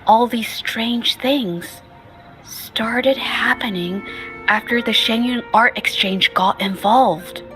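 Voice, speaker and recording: An actress voicing a polite, inquisitive young adventurer in a video game, speaking in a courteous, thoughtful way. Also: female voice, a little high, slow, fairly bright tone, faint music behind, low-quality recording. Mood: pondering. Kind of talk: English video game voice acting.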